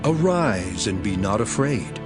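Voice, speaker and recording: An actor playing a solemn, authoritative teacher and master in a dramatized scripture, declaiming deliberately. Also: male voice, fairly low pitch, very animated. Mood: calm authority. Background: music.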